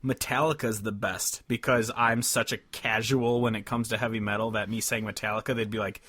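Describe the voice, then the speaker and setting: A man's voice: fairly low pitch, monotone, a little fast, bright, forceful tone. A man co-hosting a podcast, chatting about music in an informal, jokey, rambling way. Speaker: podcast co-host. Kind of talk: casual music chat.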